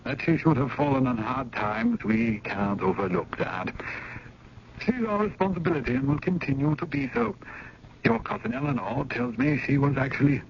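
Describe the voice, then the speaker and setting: A man's voice: medium pitch, big pitch swings, moderate pace, creaky. An actor playing a genteel, slyly kind museum committee elder in a 1970s radio drama, speaking formally and taking his roundabout time. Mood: measured, kindly. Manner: formal, leisurely, roundabout speech. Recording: muffled, noisy old radio broadcast.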